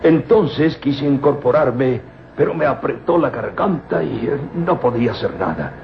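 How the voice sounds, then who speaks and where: A man's voice: medium pitch, lively intonation, creaky, a little hiss or hum. A man acting in an old-time radio drama as an anxious older European professor and father, speaking hesitantly. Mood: shaken, hesitant. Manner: hesitant, halting delivery. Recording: muffled old radio recording, music behind.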